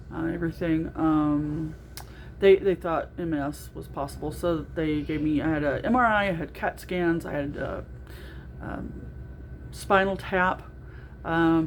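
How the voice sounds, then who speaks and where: A middle-aged woman with a naturally loud voice, talking through a casual video diary with lots of ums and restarts. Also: sits low, slight background noise. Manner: rambling, with frequent ums and restarts. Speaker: vlogger.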